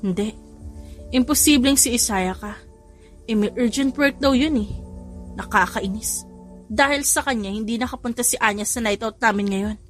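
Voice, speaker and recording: A woman's voice: fairly high pitch, moderate pace, long pauses, a bit bright, faint music behind, natural voice. A woman voicing a heartbroken, drunk young woman in an audio drama, slurred and insistent. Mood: annoyed. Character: heartbroken, boozy young woman drowning sorrows at bar.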